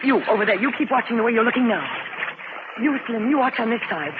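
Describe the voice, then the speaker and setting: An American actress playing a brave, take-charge young military nurse in a 1950s radio drama. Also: female voice, on the high side, lively intonation, fast, phone-quality sound, close to an everyday voice. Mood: firm, reassuring. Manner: urgent, take-charge, reassuring. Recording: scratchy, noisy 1950s radio broadcast.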